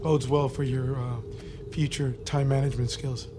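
An actor playing a dry, measured doctor who probes and questions a military prisoner in an audio drama. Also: mid-range voice, little pitch movement, natural voice. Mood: dry, skeptical. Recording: clear noisy ambience behind voice.

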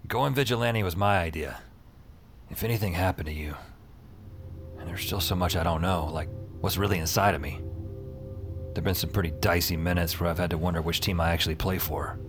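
World-weary supernatural detective, very low pitch, wry, confiding, hard-boiled audio fiction, music underneath. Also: male voice. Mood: sincere, uneasy. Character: world-weary male detective hero narrating his own hard-boiled supernatural story. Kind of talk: noir-style audio drama narration and dialogue.

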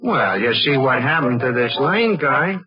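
An actor playing a skeptical, plain-spoken barkeep who argues wryly in a 1940s radio drama, on a muffled old recording. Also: male voice, mid-range voice, big pitch swings, a little slow, over music. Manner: wry, argumentative.

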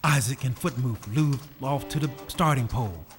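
A man's voice: medium pitch, normal speed. A man narrating a scripted story in a dramatic style. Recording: reverberant, faint music behind.

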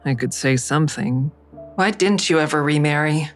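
A woman's voice: sits low, normal speed, soft background music, close to an everyday voice. A woman playing a guarded, cynical middle-aged daughter in an audio drama, dry and terse. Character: guarded, cynical adult daughter who narrates. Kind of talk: naturalistic audio drama acting with narrated asides.

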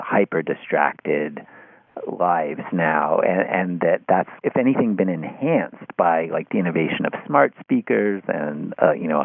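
Low-voiced man on a tinny phone line. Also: no room sound. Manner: halting, searching, repeats words. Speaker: interview guest. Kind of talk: conversational media commentary.

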